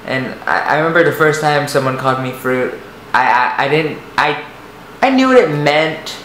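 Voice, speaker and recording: A young man with a light, soft voice, telling a personal story to the camera, hesitant and rambling with many restarts. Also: a little high, animated, moderate pace. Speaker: vlogger. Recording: echoey room, faint noise.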